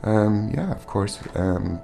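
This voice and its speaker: A man's voice: on the low side, expressive, slow, creaky, mellow. A man in his forties, raised in Montreal, talks as a guest musician in a radio studio, answering earnestly and hesitantly with many ums. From born in Armenia, raised in Montreal. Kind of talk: casual interview answers. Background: faint ambient music.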